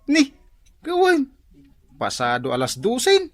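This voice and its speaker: A man's voice: very high-pitched, huge pitch swings, takes it slowly, faint music behind, heavily acted. A man acting a teasing, easygoing workmate in a comedy radio drama, bantering and exclaiming. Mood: nagging, friendly.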